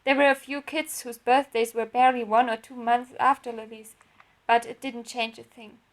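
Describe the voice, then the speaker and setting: A woman's voice: a little high, little pitch movement, normal speed. A woman reading her own written story aloud as a narrator, in an audiobook style.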